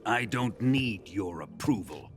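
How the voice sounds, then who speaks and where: A ruthless Cold War colonel from a video game cutscene. He speaks in a commanding, menacing way with emphatic orders. Cinematic music plays clearly behind him. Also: fairly low pitch, lively intonation, slow pace. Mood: domineering, menacing. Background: loud cinematic music.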